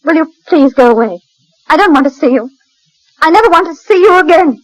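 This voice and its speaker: A woman's voice: high voice, lively intonation, a little fast, dry recording, poor audio. An actress playing an agitated, defiant young woman in love, in a 1940s radio drama. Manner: agitated, insistent.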